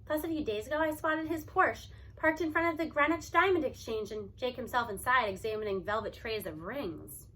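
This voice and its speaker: A woman reading a story aloud in expressive first-person narration, with animated delivery and emphatic stresses. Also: a little high, a little fast, few pauses.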